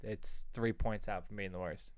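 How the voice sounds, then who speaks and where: A man's voice: lowish voice, fairly even intonation, moderate pace. A man hosting a fantasy football podcast, talking in casual, blunt sports banter.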